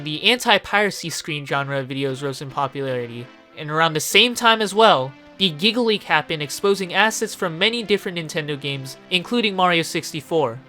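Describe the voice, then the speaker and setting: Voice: medium pitch, sharp tone. Casual video essayist over background music. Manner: casual, opinionated, swears freely. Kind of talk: informal video essay commentary. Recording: music playing behind the voice.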